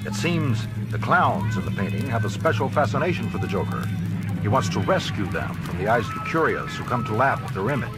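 Commanding, grave superhero over dramatic music. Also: male voice, lowish voice, very animated, brisk, rough recording. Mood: urgent, commanding. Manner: authoritative, formal, clipped. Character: adult male superhero crimefighter, commanding and grave. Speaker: voice actor. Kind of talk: radio-drama acting on a children's story record. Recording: vintage story record with dramatic music.

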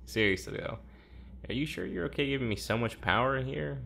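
An actor playing a sardonic, guarded young man in an audio drama, talking casually and haltingly with a teasing edge. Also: mid-range voice, creaky, fairly bright tone, slight background noise. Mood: wry. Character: sardonic, teasing, working-class young man, guarded but warm-hearted. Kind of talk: naturalistic audio drama dialogue.

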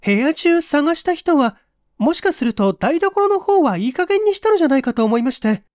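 A woman playing an anxious, high-pitched young woman suspect. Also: very animated, moderate pace, a little mellow, no echo, studio quality. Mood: anxious, pleading. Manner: polite, feminine, hesitant old-fashioned phrasing.

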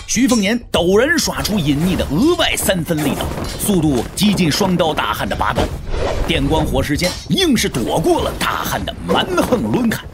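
抑扬极为强烈的男旁白. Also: male voice, high-pitched. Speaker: narrator. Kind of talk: dramatic scripted narration of a martial-arts audio drama.

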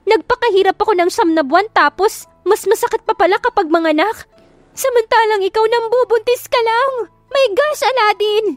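Female lead for romance audiobook: feisty Filipino wife, acted by a woman, dramatic, high voice, music bed. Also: moderate pace, no echo. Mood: exasperated, shrill. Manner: exclamatory, dramatic, sassy. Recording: music behind the voice.

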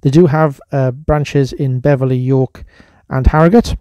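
A man's voice: medium pitch, steady tone, measured pace, mellow, slight background noise. A man giving a casual voice-over review as a vlogger.

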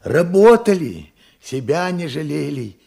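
An old working-class father in a Soviet radio play. His voice is creaky and fairly high for a man, swinging widely in pitch. He tells things with earnest, emphatic pride. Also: sing-song, unhurried, mellow, full character voice. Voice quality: husky, creaky voice.

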